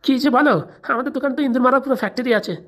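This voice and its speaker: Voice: high voice, fairly even intonation, racing, a bit bright. A performer voicing a sly, grumbling village shopkeeper in a comedy cartoon, chatty and muttering, with a rural Bengali dialect. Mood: chatty, insistent. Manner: chatty, muttering asides, cautioning.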